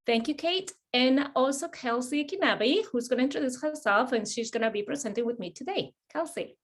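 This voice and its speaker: A woman's voice: sits high, animated, fairly quick. A middle-aged woman from Spain with a Spanish accent, hosting an admissions webinar in a friendly way, with many fillers and restarts. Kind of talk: webinar presentation.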